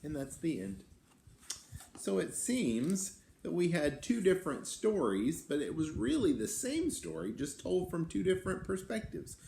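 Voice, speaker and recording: A man reads a picture book to children with lively, wide-ranging intonation. He pauses to explain and to put questions to his listeners. The room is echoey, with faint noise behind him. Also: sits high, creaky. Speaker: children's story-time reader and host. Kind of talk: read-aloud with simple asides to children. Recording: roomy echo, some background noise.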